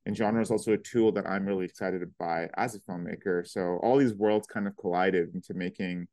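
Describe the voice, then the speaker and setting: A man's voice: sits low, steady tone, a little fast, a little mellow, no room sound, clean studio sound. A man from Pakistan, a film director, answering interview questions in a reflective way with frequent fillers and restarts.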